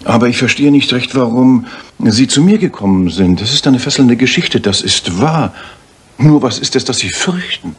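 An actor in a German radio drama playing a shrewd, courteous detective with a husky, raspy voice and a deliberate, questioning delivery. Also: male voice, mid-range voice, lively intonation. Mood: courteous, probing. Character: shrewd, courteous, probing male Victorian detective.

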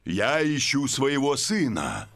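An actor playing a jolly, bumbling middle-aged panda father in an animated film dub. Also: medium pitch, measured pace, crowd noise. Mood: earnest. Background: film music.

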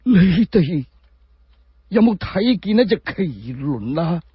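A man voicing a frail, fervent old sage near death, trembling and breathy, with drawn-out exclaiming in a storytelling drama. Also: on the high side, very animated, dry recording, heavily acted. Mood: amazed, weak. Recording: dull muffled recording.

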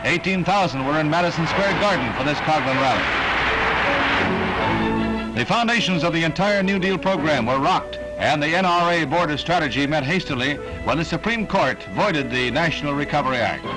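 Male American newsreel narrator, slightly high-pitched, dramatic and formal, loud music bed. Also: low-quality recording. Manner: dramatic, formal announcer delivery. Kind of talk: mid-century newsreel narration.

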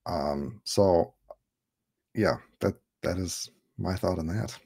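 A man in his thirties hosts a casual online chat from a room at home, talking through a headset. His voice sits a little low, and he keeps the talk loose and jokey, laughing often. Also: slow pace, vocal fry, a little mellow, breathy edge. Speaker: livestream host. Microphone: headset on a video call.

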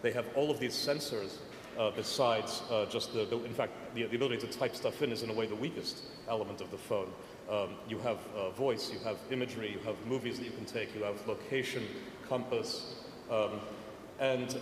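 A man's voice: lowish voice, fairly quick. A man speaking energetically on a conference panel, with many fillers. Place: large conference hall. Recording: echoey conference hall, faint noise.

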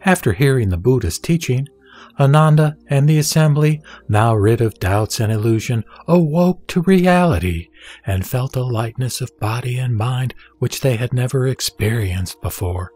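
A man reading a dramatised Buddhist scripture as narrator, in a measured, solemn and formal delivery. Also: medium pitch, lively intonation, darkish tone, no room sound. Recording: background music under the voice. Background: music.

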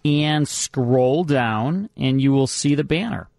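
A man's voice: medium pitch, takes it slowly, gravelly, a little mellow, no echo, clean studio sound. A man in his fifties hosting a radio show casually, with a folksy, joking way of talking. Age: 50s. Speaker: radio host.

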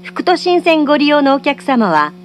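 A woman's voice: sits high, sing-song, normal speed, slight background noise. A woman giving formal, polite, carefully enunciated scripted train announcements, recorded from a carriage speaker.

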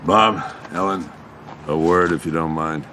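Very deep, slow, weary government agent. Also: male voice, background noise. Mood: grim. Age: older. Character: weary older male government agent, grave and taciturn. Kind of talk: animated film dialogue. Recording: film soundtrack with music behind.

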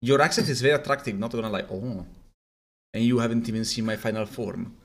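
A man's voice: medium pitch, quick pace. A young man from Italy with an Italian accent, chatting and joking as a streamer in a small room at home, often stumbling over his words. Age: young adult. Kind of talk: casual live-stream chat. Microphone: webcam framing, wearing headphones.

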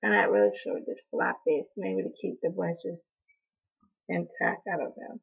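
A young woman with a hoarse, deeply gravelly voice talks through a casual haul video, full of ums and apologies for how she sounds. Her voice is husky and rough, and the thin, phone-like recording comes from a webcam close by in a small room. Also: animated, moderate pace. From North Carolina. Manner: chatty, apologetic, lots of ums, coughs now and then. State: hoarse from allergies. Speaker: beauty vlogger. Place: small indoor room, in front of a door. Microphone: webcam at close range.

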